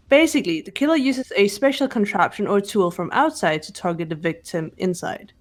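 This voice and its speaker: A woman voicing a composed, analytical teenage girl detective in a fan-voiced mystery game, speaking in a deliberate, precise way. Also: mid-range voice, expressive, natural voice. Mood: calm, analytical. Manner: deliberate, precise, lecturing delivery. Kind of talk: fan voice-over of a mystery visual novel.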